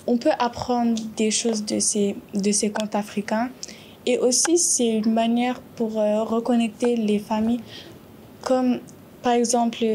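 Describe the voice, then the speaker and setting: A young woman answering in a classroom book discussion, hesitant and pausing often on filler sounds. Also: on the high side, warm tone, slight background noise.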